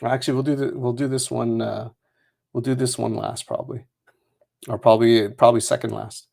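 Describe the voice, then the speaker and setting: A man's voice: medium pitch, flat delivery, vocal fry, warm tone. Casual man hosting a card break. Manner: rambling, with many fillers and quick laughs; reads out a long list of names. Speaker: host of a trading card box break. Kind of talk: casual livestream chat.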